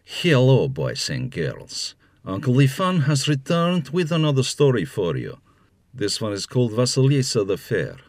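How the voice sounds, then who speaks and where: A man's voice: mid-range voice, wildly swooping pitch, normal speed. A man narrating a scripted fairy tale for children as a storyteller, with wry asides.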